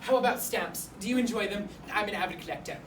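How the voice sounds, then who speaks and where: A woman's voice: mid-range voice, fast, close to an everyday voice. Woman playing a pompous, fussy headmaster, roomy sound. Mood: fussily genial. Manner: pompous, fussy, rambling formal politeness. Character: pompous, awkward headmaster of a boys' boarding school. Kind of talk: comic monologue in a speech competition performance. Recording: roomy, distant mic, clear background noise.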